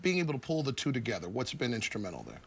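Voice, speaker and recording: A middle-aged man hosting a postgame TV studio interview, joking and animated as he asks questions. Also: mid-range voice, very fast, gravelly, slightly rough. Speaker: studio host. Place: TV studio set.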